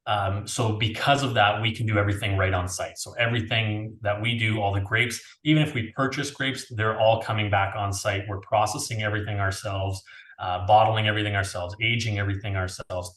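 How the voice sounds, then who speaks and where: Low-voiced Canadian man in a roomy space. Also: steady tone, brisk, fairly bright tone. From Niagara region, Canada. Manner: casual, explanatory, chatty. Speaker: winery owner being interviewed. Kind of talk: conversational interview answers. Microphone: video call, earphones. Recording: roomy, echoey video call audio.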